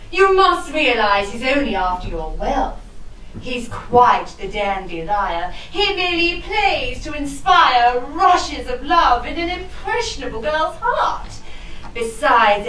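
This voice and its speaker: Heard from a distance in an echoing room, a scheming widow holds forth in theatrical rhyme. Her delivery is slow and swooping, grandly arch and full of condescension. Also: sits high, fairly bright tone, a little hiss or hum, heavily acted. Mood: smug condescension. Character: scheming, haughty, vengeful rich widow. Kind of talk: theatrical rhyming costume-drama stage acting. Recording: roomy, distant microphone.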